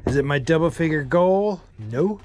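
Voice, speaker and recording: A middle-aged man giving excited casual commentary while fishing from a small boat at sea, recorded on a head-mounted action camera. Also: on the high side, normal speed. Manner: excitable, cheers and shouts "bam" at catches. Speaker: angler vlogger. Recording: loud wind and boat noise.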